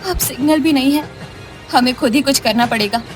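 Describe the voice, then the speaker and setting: Actress doing a frightened young sister-in-law in a story, really high, urgent, melodramatic, bit of music. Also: female voice, fairly quick, lightly acted. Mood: fearful. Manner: anxious, urgent. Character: frightened, protective young women relatives on a train.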